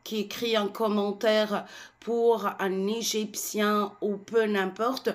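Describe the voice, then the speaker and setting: Slow, indignant Tunisian woman. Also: medium pitch, flat delivery. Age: 40s. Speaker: French teacher. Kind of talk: ranting to camera.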